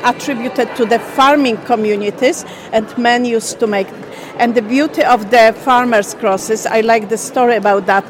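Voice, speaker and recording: A woman from Poland, a Polish native, telling the story of her traditional craft in a radio interview in careful, simple phrases. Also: fairly high pitch. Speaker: craft business owner. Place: busy exhibition hall.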